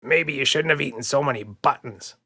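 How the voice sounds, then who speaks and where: Man as a creaky, piercing, grumbling monster. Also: medium pitch, a little fast, sharp tone, pitched above the performer's own voice. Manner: complaining, dismissive. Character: skinny lazy blue male monster, grumbling complainer.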